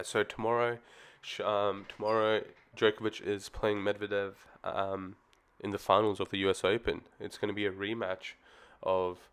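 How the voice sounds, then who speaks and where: A man's voice: on the low side, a bit bright, clear, resonant tone. A man talking casually on his own channel about tennis, easygoing and rambling with plenty of ums, his voice slightly off as he gets over the flu. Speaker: tennis commentary vlogger. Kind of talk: casual solo opinion talk.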